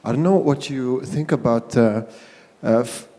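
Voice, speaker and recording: A man's voice: medium pitch, unhurried, dark tone. A man in the audience who stammers through repeated starts as he makes his point. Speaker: audience member. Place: hall at a symposium. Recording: long reverb in a hall.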